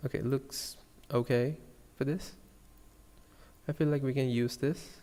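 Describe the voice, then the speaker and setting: Slow, gravelly Sri Lankan man in reverb. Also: mid-range voice, steady tone, warm tone. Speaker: 3D animation tutorial streamer. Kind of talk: casual live tutorial, thinking aloud.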